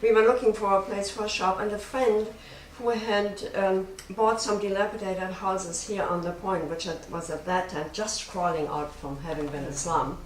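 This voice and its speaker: An elderly woman, a printmaker recalling her work as an interview guest in a halting, reflective, plain-spoken way. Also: mid-range voice, steady tone. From born in Germany, raised in Japan. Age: 70s or older. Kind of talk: unscripted personal recollection. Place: print studio workshop. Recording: echoey, distant room with faint noise.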